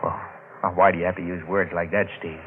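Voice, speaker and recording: Actor as a wheedling stowaway, tinny vintage radio. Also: male voice, sits low, level tone, natural voice. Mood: sly. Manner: slangy, hesitant, wheedling drawn-out talk. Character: down-on-his-luck sly stowaway sailor turned blackmailer. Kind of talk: old-time radio drama acting. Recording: narrow-band vintage radio recording, faint noise.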